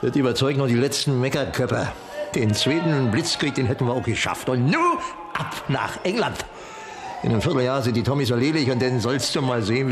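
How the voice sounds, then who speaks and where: Blustering, boozy Berlin party man, played by an actor, wildly animated. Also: medium pitch, close to an everyday voice. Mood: brash, commanding. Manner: brash, barking, colloquial. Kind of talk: German radio drama acting.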